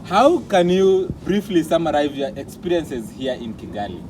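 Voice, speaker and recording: Formal male vlog host, slightly high voice. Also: very animated, moderate pace, noisy background. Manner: formal, announcer-like delivery. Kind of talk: presenting and interviewing.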